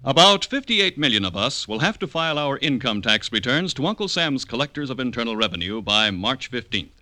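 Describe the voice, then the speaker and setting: A male announcer in the classic 1950s radio manner. His mid-pitched voice is extremely bright and forceful, with lively emphatic swings and a dry, close sound. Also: fairly quick, dry recording. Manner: formal, crisply emphatic.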